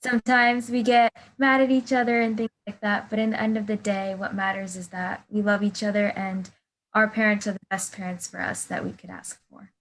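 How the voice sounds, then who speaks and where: A woman's voice: mid-range voice, level tone, brisk, fairly bright tone, slight background noise, rough recording. Woman reading a children's storybook aloud. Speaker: volunteer storybook reader. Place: reading over a video call. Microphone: video call.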